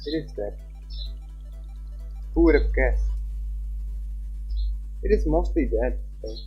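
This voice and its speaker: A man's voice: on the high side, animated, takes it slowly, husky, lo-fi sound, soft background music. A man livestreaming a game, chatting casually and reading visual novel text aloud. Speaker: live streamer.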